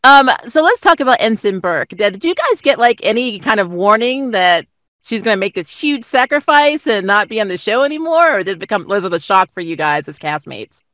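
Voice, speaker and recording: A woman's voice: mid-range voice, very animated, brisk, fairly bright tone, no room sound. A woman hosting a casual interview over a video call in an informal, giggly manner. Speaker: interview host.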